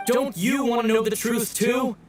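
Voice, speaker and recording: A high-pitched young man from a video game, coaxing and demanding with drawn-out persuasion. His voice sits back from the mic, with music underneath. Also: steady tone. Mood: pleading, manipulative. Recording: echoey, distant, music underneath.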